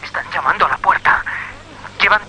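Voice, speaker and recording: A man's voice: brisk, sharp tone, a little hiss or hum, poor audio. A man playing a terrified young student in a radio drama, speaking in an urgent, panicky way. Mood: shaken, anxious.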